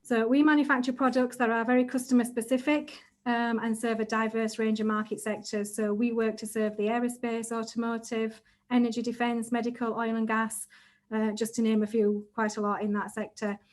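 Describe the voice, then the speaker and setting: A middle-aged woman, a chief executive giving a measured, businesslike introduction on a panel. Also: a little high, level tone.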